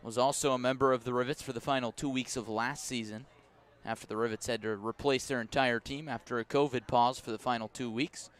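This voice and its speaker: An American man doing live radio baseball play-by-play, measured in description and lifting his voice for the action. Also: medium pitch. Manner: measured description rising to excited calls. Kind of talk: live radio sports commentary.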